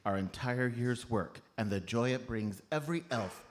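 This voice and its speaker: A man's voice: medium pitch, normal speed, natural voice. A man playing a tiny, solemn elf foreman with a long-winded official manner in a live comedy radio play. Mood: pressured, serious. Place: live on stage at a comedy club.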